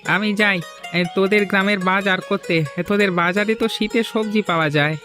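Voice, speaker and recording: A man's voice: high voice, steady tone, normal speed, close to an everyday voice. A man playing a gloomy, resigned villager in a scripted cartoon story, with music behind him. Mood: neutral. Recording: music under the voice.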